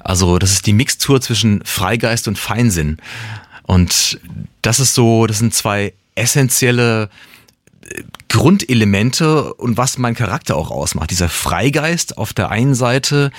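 A man's voice: lowish voice, lively intonation, measured pace, dry recording, studio quality. A man, a singer-songwriter and native German speaker, talking about his music in a casual, reflective way, with many restarts and the odd laugh. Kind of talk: casual interview talk.

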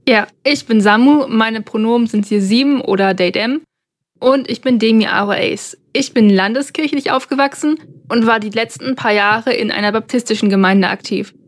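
A young woman co-hosts a podcast conversation. Her voice sits a little above average in pitch. She speaks earnestly and carefully. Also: moderate pace. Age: young adult. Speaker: podcast co-host.

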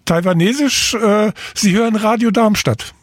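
A man's voice: high voice, unhurried, no echo, clean studio sound. A man hosting a radio interview, asking short questions and giving brief acknowledgements. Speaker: radio host.

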